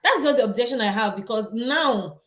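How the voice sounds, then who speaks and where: A woman's voice: fairly high pitch, expressive, normal speed. A woman co-hosting a podcast, opinionated and conversational, often saying "you know". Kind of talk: casual podcast chat.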